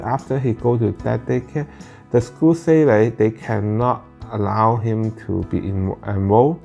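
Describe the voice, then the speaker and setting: A man with Cantonese as his first language speaks slowly in halting English, with restarts along the way. His voice is gravelly and mellow, at an ordinary pitch. Soft guitar music plays faintly underneath. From Northern California Bay Area. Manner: halting, frequent "like" and restarts, plain non-native phrasing. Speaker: parent vlogger. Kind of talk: casual personal storytelling.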